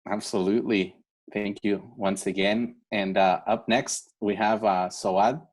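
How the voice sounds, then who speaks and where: Low-voiced man hosting, earnest and encouraging. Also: steady tone, measured pace, a little mellow. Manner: earnest, encouraging, often seeking agreement with "right?". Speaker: event host for a scholarship foundation. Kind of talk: heartfelt informal speech at a virtual awards event. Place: online video event.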